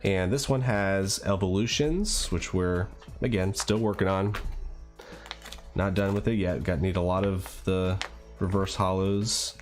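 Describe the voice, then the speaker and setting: A man with a slightly raspy voice from a cold, chatting casually and a bit hesitantly as he hosts a livestream. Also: deep voice, steady tone, a little slow, soft background music. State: getting over a cold. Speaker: livestream host and card collector.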